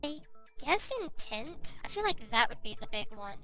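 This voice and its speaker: A woman's voice: on the high side, very animated, normal speed, a bit bright, faint music behind. A woman giving casual video essay narration as an online commentary creator.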